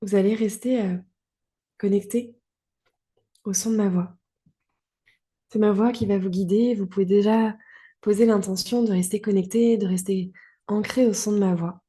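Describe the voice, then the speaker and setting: A woman guiding a relaxation meditation as a yoga teacher, calm and soothing, in short phrases with long pauses. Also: mid-range voice, breathy edge. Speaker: yoga nidra guide.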